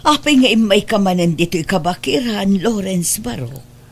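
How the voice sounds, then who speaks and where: A woman's voice: mid-range voice, animated, normal speed, lightly acted. A woman playing a poor, humble, anxious mother in a radio drama, plaintive and hesitant, with an Ilocano Filipino accent.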